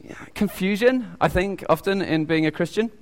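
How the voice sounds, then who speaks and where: A man with a British accent giving an informal sermon. He talks haltingly, with many ums and restarts. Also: sits high. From United Kingdom. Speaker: lay preacher. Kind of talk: informal sermon with audience questions. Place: church hall. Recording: long echoey church reverb.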